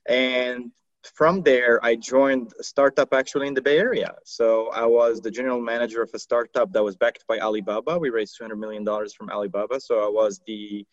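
A man from Morocco whose first languages are Arabic and French speaks as a podcast guest. He walks through his career in a relaxed, steady way. The recording is dry and a bit dull and lo-fi. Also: medium pitch, fairly quick, no room sound. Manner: relaxed storytelling, steady recounting. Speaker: podcast guest, marketing executive. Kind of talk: casual interview chat.

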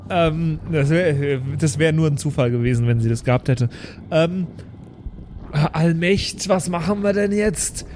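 Man, Franconian accent, fairly high voice, casual improvised role-play, tabletop player, faint background noise. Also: unhurried, darkish tone.

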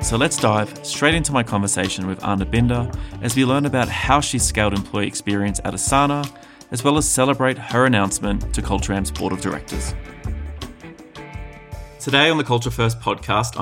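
A man's voice: medium pitch, a bit bright. A man hosting a podcast, talking in a friendly, conversational way and thinking aloud as he asks questions. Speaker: podcast host. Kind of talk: podcast interview.

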